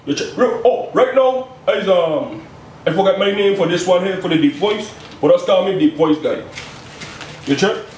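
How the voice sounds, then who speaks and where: A playful, chatty man walks through a recipe in a small home kitchen. His voice sits a little high for a man and comes from a camera some distance away. The room adds a roomy echo, with faint background hiss. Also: animated, moderate pace, a little mellow. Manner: playful and chatty, tags lines with "you check?". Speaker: home cook host. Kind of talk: casual cooking demonstration. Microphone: camera mic at a distance.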